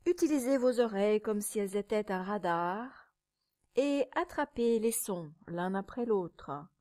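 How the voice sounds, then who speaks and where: A woman teaching yoga nidra, guiding a meditation in a calm, measured, soothing way, with a soft, sometimes breathy voice and pauses between short phrases. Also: medium pitch. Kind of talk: guided meditation.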